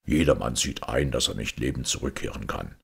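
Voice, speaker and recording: A man's voice: animated, fairly quick, gravelly, husky, full character voice. A man voicing a scheming, imperious king in a storybook fairy tale. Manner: low, commanding.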